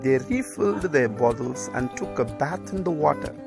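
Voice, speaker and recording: A man's voice: medium pitch, animated, moderate pace, gravelly. A man narrating a scripted moral story for children. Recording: music behind the voice. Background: music.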